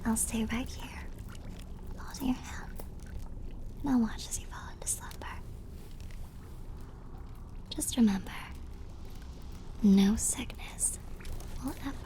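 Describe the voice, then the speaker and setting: A woman playing a clumsy, affectionate and slightly mad plague doctor girlfriend in a theatrical, breathy ASMR roleplay. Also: a little high, slow pace, pauses often, dark tone. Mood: tender, soothing, unhinged. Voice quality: breathy, often whispered. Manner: theatrical, affectionate, quaint turns of phrase. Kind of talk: ASMR roleplay, scripted character acting.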